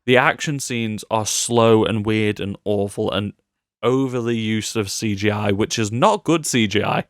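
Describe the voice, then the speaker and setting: A man's voice: on the low side, a little slow, no room sound, studio quality. A man co-hosting a casual film podcast, giving exasperated, emphatic opinions. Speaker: podcast co-host.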